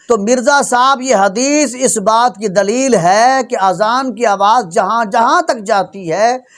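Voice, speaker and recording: A man's voice: very high-pitched, a bit bright. A man preaching an Islamic sermon as a rebuttal, emphatic and admonishing. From Pakistan. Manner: emphatic, admonishing, rhetorical questioning. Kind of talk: religious sermon, argumentative rebuttal.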